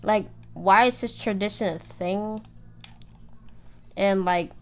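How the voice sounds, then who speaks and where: A woman hosting a reaction video, casual and exclamatory, hesitating with many fillers. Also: medium pitch.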